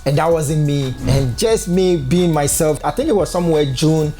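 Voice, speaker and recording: A man's voice: a little high, moderate pace. A young man from Ghana with a Ghanaian accent, earnestly giving advice to camera in a casual vlog. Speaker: content creator. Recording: faint background music.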